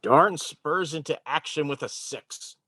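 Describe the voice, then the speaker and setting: A man's voice: fairly high pitch, measured pace, pushed and bright, husky, dry recording. A man chatting casually as a player in a tabletop role-playing game.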